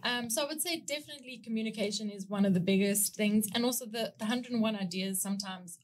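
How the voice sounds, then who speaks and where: A woman's voice: mid-range voice, fast, slight background noise. A woman in her thirties with a South African accent, a guest talking business partnership in a casual podcast chat. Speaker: guest, brand strategist.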